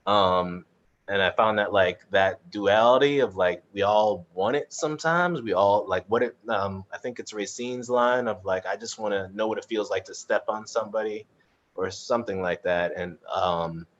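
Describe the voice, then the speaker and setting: A man's voice: fairly low pitch, moderate pace, fairly bright tone, lo-fi sound. A man hosting a casual online chat, speaking informally in a hesitant, rambling way over a video call. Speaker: host of a theatre chat.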